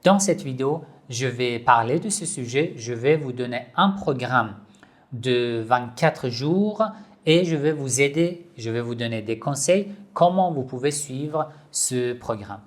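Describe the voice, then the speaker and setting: Slow, emphatic man teaching online. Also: medium pitch, lively intonation. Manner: slow, repetitive, emphatic explaining. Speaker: online Islamic institute teacher. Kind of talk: slow instructional video talk.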